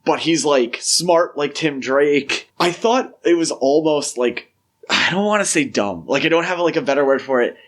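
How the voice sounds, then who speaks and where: A man's voice: on the high side, animated, normal speed, fairly bright tone. Casually spoken man chatting about film. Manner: informal, peppers speech with "like". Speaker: podcast co-host.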